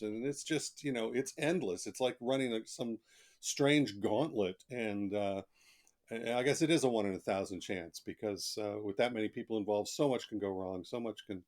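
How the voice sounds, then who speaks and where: A man's voice: fairly low pitch, a little mellow. A man speaking as an author guest on a podcast, telling stories in a casual, colloquial and emphatic way. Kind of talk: casual podcast interview, anecdotal storytelling.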